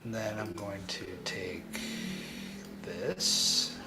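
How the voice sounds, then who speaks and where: Male coding tutor, low and gravelly, halting and repetitive as he works through problems aloud. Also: steady tone, loud music behind the voice. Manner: halting, repeats phrases while reasoning aloud. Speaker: programming tutor and livestream host. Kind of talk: thinking aloud during live coding tutorial.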